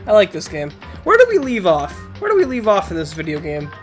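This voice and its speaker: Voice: medium pitch, huge pitch swings, brisk, faint music behind. A streamer with a nasal, cold-stuffed voice, rambling casually to the chat while playing, with frequent laughs. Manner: rambling, chatty, laughs often, talks to the chat. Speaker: Twitch streamer playing a video game.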